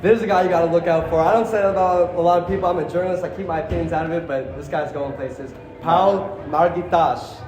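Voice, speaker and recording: A man's voice: a little high, fairly even intonation, fast, few pauses, a little mellow. A man interviewing a fighter as a journalist, hyped and casual, often calling him bro. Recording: echoey arena with noise.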